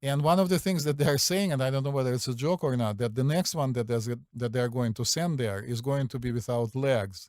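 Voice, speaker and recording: A man's voice: medium pitch, fast, few pauses, a little mellow, clear, resonant tone, no room sound, clean studio sound. A man with a Russian accent hosting a casual chat, rambling and hesitant with many fillers. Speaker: podcast host.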